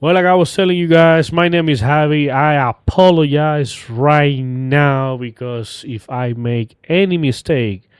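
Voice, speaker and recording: Cuban man, smooth dry voice. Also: a little high, a little slow, few pauses, dry recording. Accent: Cuban Spanish. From Cuba. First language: Spanish. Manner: chatty, self-deprecating, jokes around. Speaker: channel host. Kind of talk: casual political commentary vlog.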